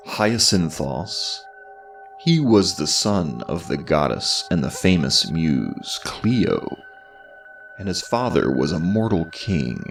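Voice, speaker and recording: A man narrates an old myth in a very low, gravelly voice. His delivery is deliberate and dryly tongue-in-cheek, rising and falling with dramatic pauses. He sits a little away from the mic. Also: far from the mic. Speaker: podcast presenter and history professor. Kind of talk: scripted storytelling narration of mythology.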